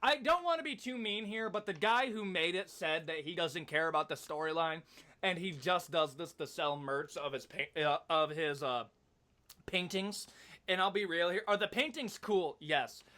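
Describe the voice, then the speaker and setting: A man's voice: high voice, normal speed, sharp tone. A man giving casual, opinionated video commentary in an emphatic, slangy, conversational way.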